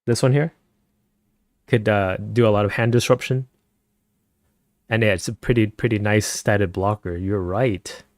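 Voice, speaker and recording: A man chatting casually with viewers on a live stream, speaking softly and keeping his voice down at night at home, and laughing often. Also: fairly even intonation, unhurried, long pauses, no room sound, studio quality. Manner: soft-spoken, keeps voice low at night.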